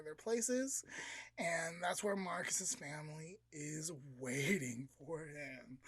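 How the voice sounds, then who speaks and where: A woman's voice: mid-range voice, no room sound. A woman podcast co-host retelling a story in an informal, dramatic, conversational way and acting out the dialogue. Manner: conversational, dramatic, uses slangy quotes.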